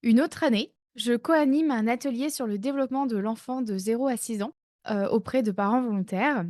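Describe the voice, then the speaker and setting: Woman, 30s, life-coach podcast host, higher voice, relaxed chatty delivery, dry close recording. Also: fairly quick, dry recording. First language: not English. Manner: chatty, self-mocking, many fillers. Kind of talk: casual podcast monologue.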